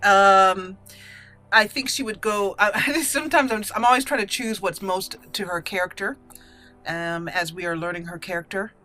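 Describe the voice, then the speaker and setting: A woman gamer with a bright, clear-cut tone narrates her roleplay playthrough casually. She thinks aloud hesitantly, with game music behind her. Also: mid-range voice, moderate pace.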